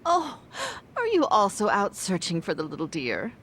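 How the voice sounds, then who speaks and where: A woman playing a kindly but firm older cleaning lady in a video game, genteel and gently fussy. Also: medium pitch, huge pitch swings, normal speed, slight background noise. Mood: friendly, firm. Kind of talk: video game cutscene acting.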